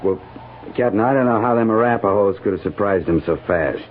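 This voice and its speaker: Low-voiced, folksy cavalry sergeant male actor, old radio. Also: brisk, close to an everyday voice. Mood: puzzled. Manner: laconic, rustic, plain-spoken. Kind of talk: 1950s radio western acting. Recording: narrow-band old radio recording, faint hiss.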